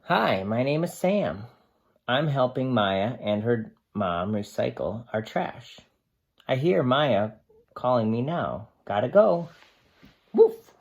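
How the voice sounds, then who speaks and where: A man voicing a friendly, curious dog who narrates a children's storybook in an animated, eager way. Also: medium pitch, slow, gravelly, husky, exaggerated voice. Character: friendly dog narrator helping his family recycle.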